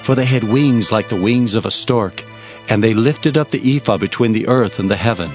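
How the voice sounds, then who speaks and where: An actor playing an earnest Hebrew prophet, narrating visions in a solemn, emphatic, declamatory scripture-drama style. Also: male voice, lowish voice, normal speed, lightly acted. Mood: solemn wonder. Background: music.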